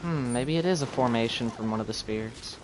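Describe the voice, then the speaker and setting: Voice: mid-range voice, brisk, background noise. A game streamer giving casual live commentary, reacting offhand in short asides.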